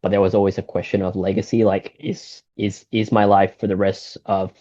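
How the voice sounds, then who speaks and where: Low-voiced man with an American accent. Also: moderate pace, dry recording, muffled recording. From Singapore. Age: 30s. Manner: relaxed, rambling, frequent fillers and restarts. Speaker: guest, golf shop owner. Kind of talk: casual interview chat.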